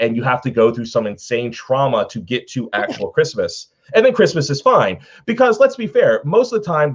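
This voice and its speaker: A man's voice: medium pitch, highs cut off. A man talking casually and emphatically about films as a panelist, gushing like a devoted fan. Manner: emphatic, effusive fan talk.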